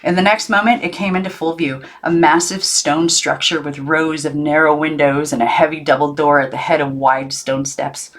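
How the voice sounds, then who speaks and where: A woman reading a children's novel aloud as an audiobook narrator, in an expressive storybook style. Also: deep voice, normal speed, hardly any pauses. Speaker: audiobook reader.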